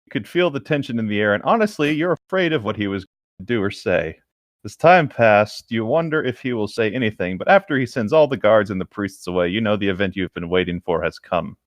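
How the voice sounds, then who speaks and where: A man's voice: fairly low pitch, expressive, fairly quick, dry recording, clean studio sound. A man co-hosting in a casual style, bantering and reading aloud in a mild-mannered way. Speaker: co-host of a fan fiction reading show.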